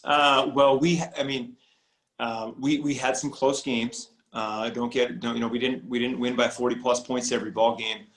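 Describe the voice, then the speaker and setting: A man's voice: mid-range voice, little pitch movement, moderate pace, a bit bright. Man in his forties, echoey video call. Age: 40s. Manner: rambling, many repeated starts and fillers. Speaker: high school football coach. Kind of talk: casual interview over video call. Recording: roomy echo on video call audio.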